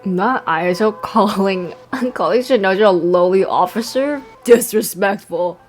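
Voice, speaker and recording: Young female streamer: mid-pitched, slangy, joking, casual game commentary. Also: unhurried, soft background music. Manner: giggly, slangy, joking paraphrases. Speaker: gaming streamer.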